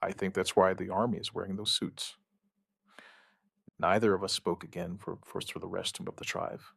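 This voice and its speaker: Male actor as outbreak survivor, gravelly, husky, somber, halting, dramatic audio-drama witness account. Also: low voice, level tone, fairly bright tone, no room sound, studio quality, full character voice. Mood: grim, haunted. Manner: halting, somber, deliberate with short phrases. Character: shaken male outbreak survivor recounting events, dryly stubborn. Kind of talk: dramatic first-person scripted narration, witness account.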